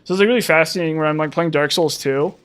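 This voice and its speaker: A man's voice: fairly high pitch, very fast, a bit bright, smooth. A man in his thirties casually chatting and rambling to his viewers while streaming a game. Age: 30s. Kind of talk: casual live-stream chat while playing.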